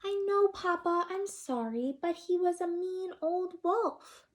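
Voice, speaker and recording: A woman voicing an eager, excitable little red chicken child in a picture-book read-aloud. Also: extremely high pitch, slow, pitched above the performer's own voice. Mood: apologetic. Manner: pleading, apologetic to Papa, bursting out excitedly.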